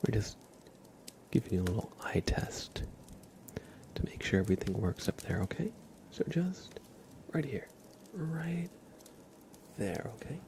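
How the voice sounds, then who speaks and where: A man hosting an ASMR livestream in a breathy whisper, speaking gently with playful mock-seriousness as he acts out little roleplays. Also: mid-range voice, very animated, measured pace, long pauses. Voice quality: breathy whisper, mostly unvoiced.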